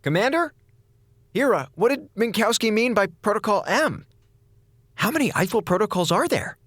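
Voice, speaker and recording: A man's voice: high voice, sing-song, normal speed, a bit bright, no room sound, exaggerated voice. An actor playing a sarcastic, self-pitying male comms officer stranded in space, talking to himself in a running monologue. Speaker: communications officer. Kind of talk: audio drama acting.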